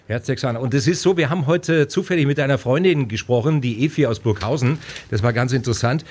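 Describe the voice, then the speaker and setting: Man in his fifties, radio host, mid-pitched voice, casual interview talk, chatty, jokey. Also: quick pace, darkish tone, slightly rough. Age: 50s. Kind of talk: casual conversational music interview.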